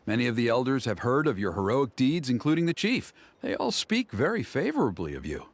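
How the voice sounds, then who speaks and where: Animated, ceremonious game elder. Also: male voice, mid-range voice, no room sound. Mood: pleased, businesslike. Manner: formal, measured, approving. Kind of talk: video game character acting.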